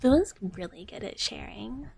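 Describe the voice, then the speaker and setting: A woman voicing a sweet, gentle dragon big sister in live ASMR, whispering softly and haltingly and often repeating her phrases. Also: a little high, expressive, a crowd in the background, full character voice. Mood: gentle, cozy. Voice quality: soft, breathy whisper. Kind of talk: whispered live ASMR roleplay, chatting with viewers.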